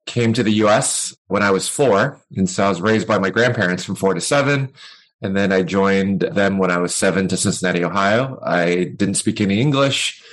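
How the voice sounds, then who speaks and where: A man's voice: on the low side, fairly bright tone, poor audio. A man from Taiwan, a podcast guest, telling his background in a plain, matter-of-fact way. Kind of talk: interview answers.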